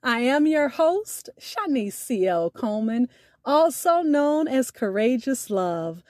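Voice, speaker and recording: A woman podcast host addressing her listeners with expressive, deliberate enunciation as she makes sign-off announcements. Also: sits high, dry recording, studio quality.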